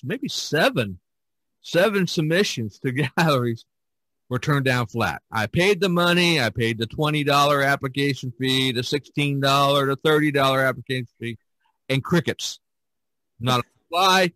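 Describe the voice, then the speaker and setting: A man's voice: medium pitch, pushed and bright, dry recording, poor audio. A man hosting a casual podcast discussion, telling his story in a rambling, emphatic way. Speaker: podcast host and artist.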